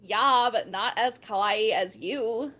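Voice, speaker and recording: A woman's voice: on the high side, slow, a bit bright. A woman playing a brash, sassy teenage goth girl in an amateur dramatic reading of fan fiction. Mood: haughty. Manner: sassy, text-speak abbreviations spoken out.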